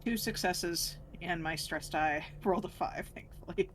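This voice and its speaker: A woman's voice: on the low side, unhurried. A woman chatting casually as a player in a tabletop roleplaying game. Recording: faint noise and room ambience.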